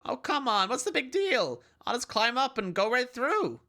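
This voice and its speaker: A man voicing an eager, curious young teenage boy who pushes with excited questions. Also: very high voice, moderate pace, pitched above the performer's own voice, heavily acted.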